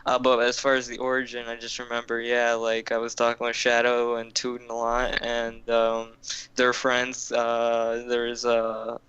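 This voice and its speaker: A man's voice: medium pitch, monotone, a little slow, fairly bright tone, smooth, dull audio. A young man casually telling an old story on a podcast, rambling and swearing, with many fillers and restarts. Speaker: podcast co-host.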